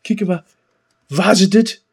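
A man with a husky, fairly high voice. He chats casually as a podcast co-host, excitable and repeating himself as he explains things. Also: slow. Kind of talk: casual chat.

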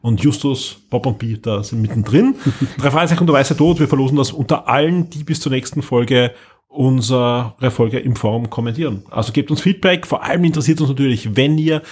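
A man's voice: medium pitch, brisk, darkish tone, husky. An Austrian-accented man hosting a podcast, chatting casually and emphatically as he reviews, often repeating words. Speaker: podcast host.

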